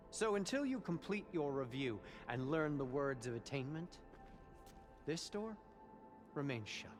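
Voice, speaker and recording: A stern male guard from a video game cutscene, played by an actor. He is officious and self-important, speaking stiffly at a middling pitch with clear music behind him. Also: close to an everyday voice. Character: stern, officious male temple guard, devoted cult follower.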